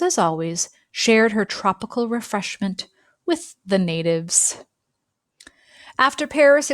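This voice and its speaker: A woman reading a children's picture book aloud with expressive, dramatic phrasing and deliberate pauses. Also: on the high side, no room sound, clean studio sound. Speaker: storybook reader.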